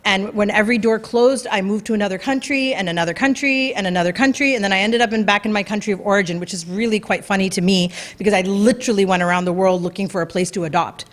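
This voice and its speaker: Woman telling her own story to an audience, earnest and chatty, lots of ums and restarts, ordinary middle pitch. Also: quick pace, hardly any pauses. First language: English. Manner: earnest, conversational, many fillers and restarts. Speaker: speaker at a community talk night. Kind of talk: personal story talk. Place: event venue before an audience.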